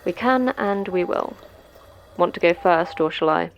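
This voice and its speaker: A woman's voice: on the low side, unhurried, muffled recording, a little hiss or hum. A woman playing a brusque, seasoned guide in a sci-fi horror audio drama, terse, commanding and matter-of-fact. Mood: firm. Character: brusque, seasoned guide through a monster facility. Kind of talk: science fiction horror audio drama acting.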